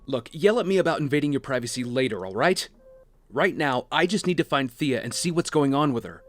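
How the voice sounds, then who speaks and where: A man's voice: medium pitch, animated, a little fast, lightly acted. An actor playing a serious, determined man protective of his sister, in a naturalistic audio drama style. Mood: urgent, focused. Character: guilt-ridden, determined brother tracking his sister, protective and earnest.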